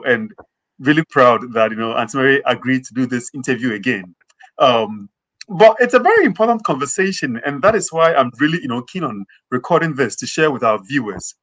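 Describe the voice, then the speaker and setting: A man hosting an online interview, speaking earnestly with frequent fillers and pauses. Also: medium pitch, fairly bright tone, rough recording. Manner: earnest, many fillers like "you know" and "um". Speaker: host and interviewer.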